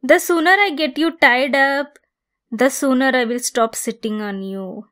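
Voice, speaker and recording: A woman playing a possessive centaur captor, bossy yet caring, in an ASMR roleplay monologue with a deliberate, teasing delivery. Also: sits high, fairly bright tone. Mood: stern, impatient.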